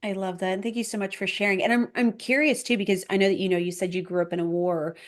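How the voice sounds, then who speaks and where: A woman's voice: mid-range voice, steady tone, fast talker. A woman hosting a podcast interview, upbeat and enthusiastic. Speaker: podcast host.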